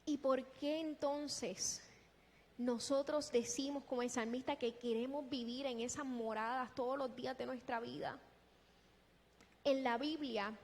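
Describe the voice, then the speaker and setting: A woman preaching a sermon in a deliberate, emphatic way, posing rhetorical questions. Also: sits high. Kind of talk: sermon with scripture exposition. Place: large echoing church hall. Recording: long reverberant hall sound.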